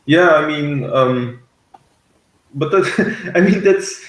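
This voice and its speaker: A man reviewing a TV episode in casual, analytical discussion, speaking haltingly as he searches for words. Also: fairly high pitch, reverberant, rough recording. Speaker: podcast reviewer.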